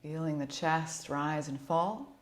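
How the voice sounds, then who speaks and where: Low-pitched woman guiding a relaxation meditation. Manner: soothing, gentle, unhurried instruction. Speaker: yoga nidra instructor.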